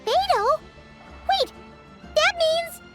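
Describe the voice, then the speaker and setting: A woman voicing a small, chirpy, childlike companion sprite in a video game, excitable and curious. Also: extremely slow, over loud music, exaggerated voice. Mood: shocked. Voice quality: squeaky, childlike.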